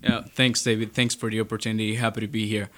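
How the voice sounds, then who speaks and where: A man. His voice is low and quite flat. He speaks politely and keeps things short. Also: fast. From Dominican Republic. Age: 20s. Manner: polite, brief. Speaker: finance student guest.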